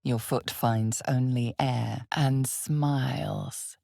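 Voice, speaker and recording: A woman playing the ominous, wry narrator of a dark fantasy game, with measured, deliberate, dramatic delivery. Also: a bass voice, steady tone, vocal fry, no echo, clean studio sound, heavily acted. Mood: ominous, hushed.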